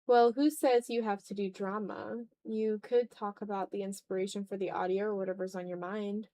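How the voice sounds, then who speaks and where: A woman's voice: mid-range voice, flat delivery, moderate pace, warm tone. Casual, self-deprecating woman streamer. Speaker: art livestreamer. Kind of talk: casual chat while drawing on stream.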